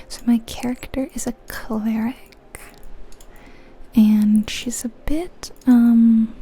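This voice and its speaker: A woman whispering breathily in an ASMR style, musing aloud in an unhurried, soft way as she comments on a game. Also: fairly high pitch, husky. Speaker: ASMR video creator.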